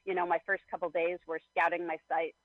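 A man answering interview questions in a thoughtful, measured way, with plenty of fillers. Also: high voice, little pitch movement, dry recording. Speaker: guest. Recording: slightly dull, lo-fi sound.

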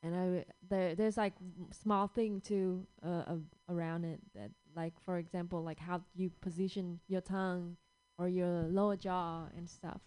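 A woman with a Vietnamese accent, a singer guesting on a radio show, speaking in a halting way while searching for words. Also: lowish voice, little pitch movement, warm tone, clear, resonant tone, low-quality recording. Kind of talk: casual interview chat.

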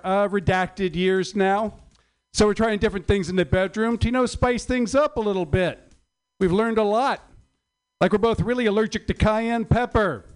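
A middle-aged man doing stand-up comedy in a notably high, clean and smooth voice. He delivers his material with wry sarcasm and grumbles profanely as he goes.

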